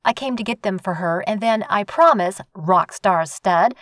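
A man voicing a brash, sharp-tongued young woman neighbor in an audiobook, scolding and sarcastic. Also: high-pitched, moderate pace, no room sound, studio quality, voice pushed up. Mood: indignant. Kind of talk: audiobook reading.

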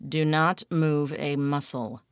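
A woman's voice: deep voice, steady tone, slow, clear, resonant tone, no echo. A woman voicing a dry, bossy male makeup artist who talks in curt orders.

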